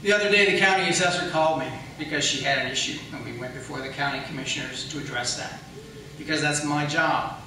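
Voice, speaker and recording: A man's voice: a little high, quick pace. A man running for office, giving emphatic, insistent answers in a campaign debate. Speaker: political candidate, incumbent county attorney. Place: public debate in an echoey indoor room, mic at a distance. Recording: echoey room, distant mic, faint noise.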